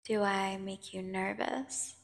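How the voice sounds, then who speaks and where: A woman playing a menacing, seductive vampire prisoner in an ASMR audio drama, taunting and condescending with theatrical pauses. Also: mid-range voice, fairly even intonation, creaky. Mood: gloating menace. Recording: long cavernous reverb.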